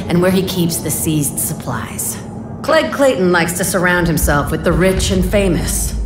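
An actress playing a tough, shrewd resistance leader in a video game, with a firm, businesslike manner. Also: female voice, fairly low pitch, close to an everyday voice. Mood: scheming, businesslike. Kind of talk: video game dialogue acting. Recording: echoey room, music behind.

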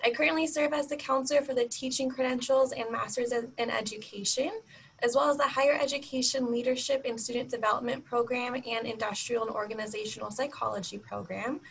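A woman's voice: fairly high pitch, a bit bright. A young woman introducing herself as an admissions counselor in a webinar. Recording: noisy video-call audio, room ambience.

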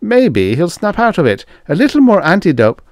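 Man doing an anxious, whining staff man, high-pitched. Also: wildly swooping pitch, moderate pace, a little mellow, dry recording, heavily acted. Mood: feebly hopeful.

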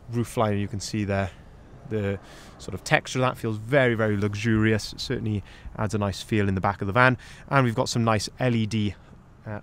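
A young man, a sales manager, giving a detailed walkaround of a van for sale outdoors on a cold lot, recorded on a handheld camera. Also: mid-range voice. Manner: upbeat, detailed, pointing things out as he walks. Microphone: handheld camera, first-person view.

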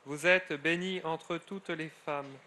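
A middle-aged friar leading solemn prayer in a measured cadence, his phrases falling away at the end. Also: a little high, steady tone, pushed and bright. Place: outdoors at a grotto shrine.

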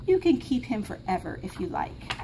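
A woman's voice: medium pitch, sing-song, moderate pace, close to an everyday voice. Woman as a knowing big-sibling bunny, slightly noisy recording. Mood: reassuring. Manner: matter-of-fact, explaining. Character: patient, knowing older bunny sibling, still a child.

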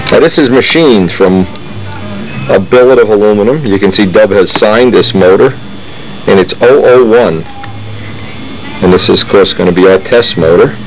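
A man's voice: on the low side, moderate pace, pauses often, soft background music, low-quality recording. A man hosting a model airplane channel who talks casually and rambles through his workshop while showing parts, often stopping to restart his sentences. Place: home workshop.

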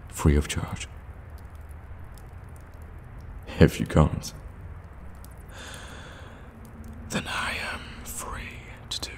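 Man playing a very deep, gravelly evil warlock. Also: heavily acted. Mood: teasing, scheming. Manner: slow, teasing, drawn-out hums and dark chuckles. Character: evil sadistic male warlock, teasing, menacing cave hermit. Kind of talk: ASMR fantasy roleplay.

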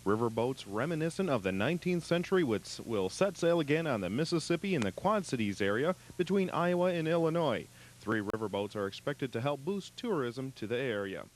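A young male newscaster reads local headlines and the weather in a measured, even newsreader manner. His pitch is middle for a man. The recording is close and clean from a studio boom mic. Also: a little hiss or hum. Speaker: radio newscaster. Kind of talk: local news bulletin. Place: small radio studio. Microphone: boom mic with headphones.

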